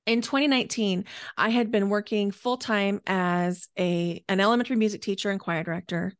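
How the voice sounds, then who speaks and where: A woman's voice: mid-range voice, a little fast, no room sound, studio quality. A 49-year-old woman speaking as a podcast guest, telling her own story conversationally and stretching key words for emphasis. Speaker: podcast guest, energy healer and coach.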